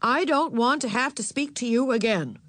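Storybook mother, acted by a woman: stern, firm, exasperated, expressive intonation, dry close sound. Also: mid-range voice, normal speed, dry recording, lightly acted. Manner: scolding, firm.

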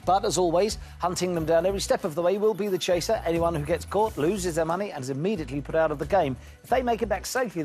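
A man hosting a TV quiz show, reading questions briskly and teasing contestants with jokes. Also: a little high. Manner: jokey, teasing banter with contestants.

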